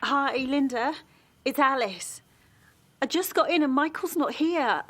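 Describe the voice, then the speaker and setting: A woman's voice: high voice, a little slow, fairly bright tone. A woman playing an earnest, protective mother in an audio drama. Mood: anxious. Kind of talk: naturalistic audio drama acting.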